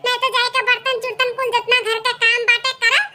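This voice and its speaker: A very high, piercingly bright cartoon voice an old village mother-in-law. She speaks with an Awadhi accent, wheedling and complaining as she schemes. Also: flat delivery, fast talker, heavily acted. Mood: pleading. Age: older. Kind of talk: Awadhi comedy cartoon dialogue.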